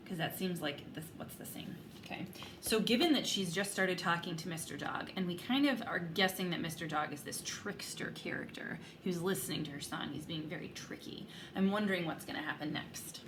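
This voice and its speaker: A woman teacher reading a story aloud to young children, pausing to think aloud and pose questions. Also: on the low side, noisy background. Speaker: elementary school teacher. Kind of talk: read-aloud storytelling with asides to students.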